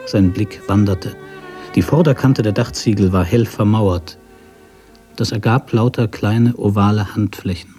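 A man with a low-pitched, dark and relaxed voice reads literary prose as a radio drama narrator. His delivery is measured and story-like. Faint music sits behind the voice.

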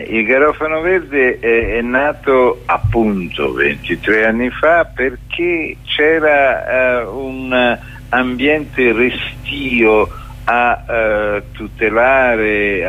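A man's voice: medium pitch, expressive, drawn-out words, phone-quality sound. A middle-aged or older man answering in a radio interview, speaking hesitantly and searching for his words. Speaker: festival curator interviewed as guest.

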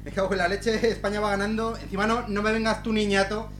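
A man with an accent from Spain playing a gruff, officious, foul-mouthed policeman in a radio drama. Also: high voice, level tone, fast, fairly bright tone, slight background noise. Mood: irritated, aggressive. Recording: roomy sound, music behind.

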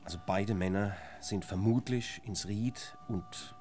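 An actor playing a male police investigator in a radio drama, halting and officious as he speculates. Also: fairly low pitch, lightly acted. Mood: matter-of-fact.

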